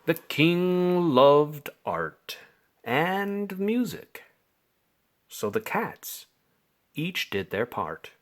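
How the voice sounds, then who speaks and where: A man reads a bedtime story to children at a very slow pace. His pitch swings in a lively way, and he stretches words out theatrically. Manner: deliberate, theatrical phrasing with drawn-out words. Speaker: storybook reader.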